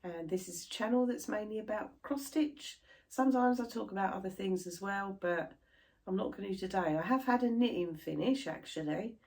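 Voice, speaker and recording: A middle-aged British woman chatting casually to camera about her crafts, sounding stuffy and a little croaky from a chest infection. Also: medium pitch, moderate pace, a little mellow. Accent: British English. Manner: chatty, rambling, laughs easily. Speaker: cross stitch vlogger.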